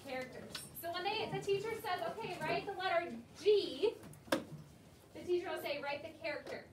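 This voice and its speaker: A woman's voice: very high voice, lively intonation, normal speed. A woman teacher leading a classroom discussion with young pupils, prompting them with questions and repeating their answers. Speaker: elementary school teacher. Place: indoor school classroom. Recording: roomy, distant mic, noisy classroom.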